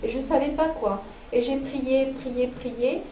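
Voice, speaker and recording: A woman from France gives an unscripted personal testimony, haltingly, searching for words and repeating herself. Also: high-pitched. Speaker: religious convert giving a testimony. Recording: roomy, noisy, muffled, distant microphone.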